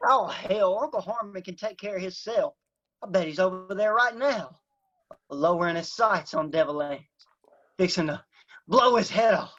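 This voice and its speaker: A hot-headed hillbilly youngster in a radio play, very high-voiced and eager. He boasts breathlessly in an Appalachian accent, and the sound is slightly dull. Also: normal speed, a bit bright. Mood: bloodthirsty glee. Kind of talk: radio drama acting.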